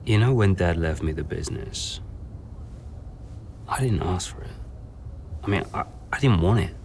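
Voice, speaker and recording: Low-voiced, burdened team boss. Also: male voice, expressive, moderate pace, darkish tone, slight background noise. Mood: subdued, troubled. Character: burdened, dry male racing team boss. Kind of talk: naturalistic game cutscene acting.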